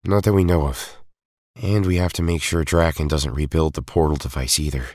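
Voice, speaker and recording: A man acting as an earnest, steady hero in an audio drama. His voice is deep and his delivery is measured and serious. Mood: earnest, resolute. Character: Tommy, steady, earnest male Power Ranger hero from another world.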